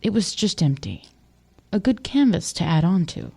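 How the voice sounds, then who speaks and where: A woman's voice: lowish voice, huge pitch swings, normal speed, vocal fry, warm tone, husky. A woman narrating an audio drama from a script in storytelling prose.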